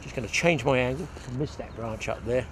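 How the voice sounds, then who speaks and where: A man's voice: mid-range voice, fairly quick. An older man giving a chatty, hands-on fly fishing lesson, talking as he demonstrates on a lakeside bank with a clip-on mic. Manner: chatty, hands-on, thinking aloud. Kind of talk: outdoor fishing tutorial. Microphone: clip-on mic outdoors, some wind. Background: wind and outdoor ambience.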